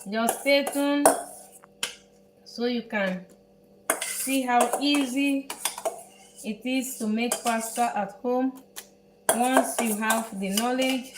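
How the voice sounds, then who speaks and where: Slow-talking Dutch woman in echoey kitchen. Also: fairly high pitch, very noisy. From Netherlands. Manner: unhurried, halting, repeats words while working. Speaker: home cook host. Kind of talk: live cooking tutorial. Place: home kitchen.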